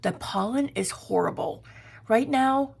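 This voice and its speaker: A woman from Georgia, hoarse and raspy from pollen, chatting her way through a crafting tutorial as a channel host. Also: a little high, animated, measured pace, darkish tone. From Georgia, USA. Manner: chatty, meandering, explaining while crafting.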